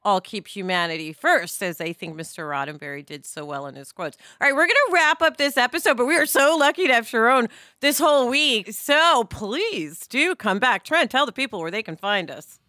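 A woman's voice: fairly high pitch, animated, brisk, sharp tone, dry recording, clean studio sound. A middle-aged American woman hosting a podcast discussion, speaking in an opinionated, emphatic way. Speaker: podcast host.

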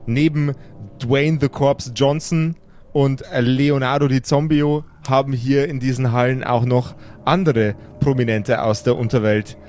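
A man's voice: medium pitch, darkish tone. A man narrating an improvised tabletop adventure as game master.

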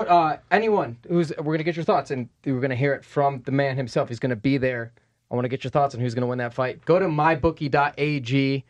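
A man hosting a podcast, chatting casually and reading a sponsor plug with energy. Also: on the high side. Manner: energetic, upbeat, pushes promo. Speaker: podcast host. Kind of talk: casual podcast chat with ad read.

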